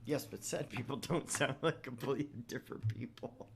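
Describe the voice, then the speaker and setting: A man's voice: medium pitch, fairly quick, creaky, a bit bright. A man co-hosting a gaming channel, bantering casually and reading game text in a blunt, teasing way.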